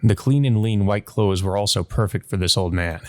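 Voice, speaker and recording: Low-voiced man reading a visual novel aloud. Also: normal speed. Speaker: let's play host.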